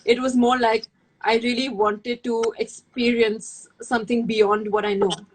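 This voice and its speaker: A woman's voice: on the high side, little pitch movement, measured pace, slightly rough, poor audio. Young Indian woman chatting on a livestream. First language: Hindi. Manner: rambling, many fillers and restarts. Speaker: guest. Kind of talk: casual chat on a livestream. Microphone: phone or video call.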